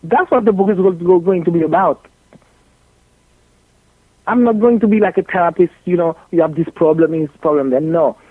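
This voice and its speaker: A woman's voice: on the low side, normal speed, pauses often, husky, dry recording. Blunt Haitian French-accented woman, phone-like sound. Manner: blunt, joking, emphatic with repetition. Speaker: guest, gynecologist. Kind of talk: animated radio interview talk. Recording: tinny phone-like line.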